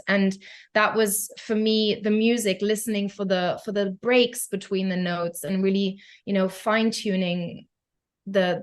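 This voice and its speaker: A young woman from Germany speaks English with a German accent as a podcast guest. She talks in a thoughtful, reflective way, pausing gently between her ideas. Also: medium pitch. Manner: thoughtful, reflective, with soft laughs and hesitations. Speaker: guest, pianist and founder. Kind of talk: podcast interview.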